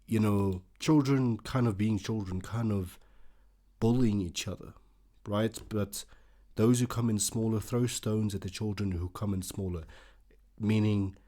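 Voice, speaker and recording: A man's voice: fairly low pitch, dark tone. A man, an Afrikaans speaker, hosting a casual reaction video and thinking aloud with lots of fillers.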